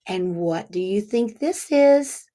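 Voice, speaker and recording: Slow, highly animated woman reading aloud. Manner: deliberate, child-directed, pausing to ask questions. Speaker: kindergarten teacher reading aloud to children. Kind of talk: interactive picture-book read-aloud with questions.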